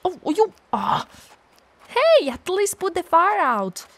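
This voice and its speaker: A woman's voice: very high-pitched, huge pitch swings, slow, fairly bright tone, heavily acted. A woman playing a playful, clumsy, bloodthirsty female vampire who mutters theatrically to herself in an ASMR audio roleplay. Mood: flustered.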